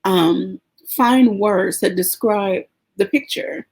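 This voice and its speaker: A woman hosting a podcast, talking sincerely and thoughtfully about her purpose, with pauses and "um" fillers. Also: mid-range voice, a little mellow, slightly rough. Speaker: podcast host. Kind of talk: casual podcast conversation.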